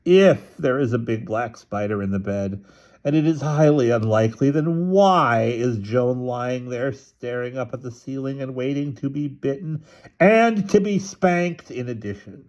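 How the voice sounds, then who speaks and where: A man voicing a mild father in a storybook, reasoning wryly in a drawn-out way. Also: medium pitch, darkish tone, lo-fi sound, voice pushed up, natural voice. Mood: sleepy, wry.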